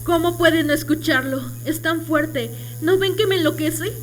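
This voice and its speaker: A woman's voice: mid-range voice, normal speed, soft background music, close to an everyday voice. An actress playing a mad, cunning woman murderer in a radio drama, theatrical, insistent and self-justifying. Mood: frantic. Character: mad, cunning, boastful woman murderer confessing her crime.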